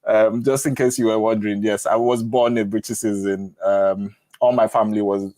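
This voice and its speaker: A man's voice: lowish voice, fairly even intonation, a little fast, mellow, no room sound. A middle-aged man with a Nigerian accent, chatting frankly and casually as he hosts a live advice session and tells stories. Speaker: host of a live Q&A on moving to the UK.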